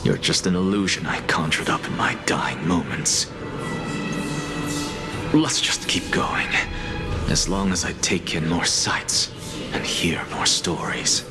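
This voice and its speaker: An actor playing an aloof, curious scholar in a video game, musing dryly with wry self-assurance, music behind him. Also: male voice, deep voice, slow, long pauses. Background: loud game music.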